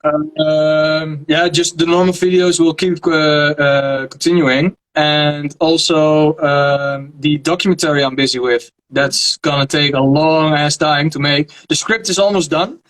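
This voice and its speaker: A young Dutch man speaking English as a second language, a guest in a casual interview, often pausing to search for words. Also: a little high, fairly even intonation, a bit bright, poor audio. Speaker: guest, history video creator. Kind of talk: casual video-call interview.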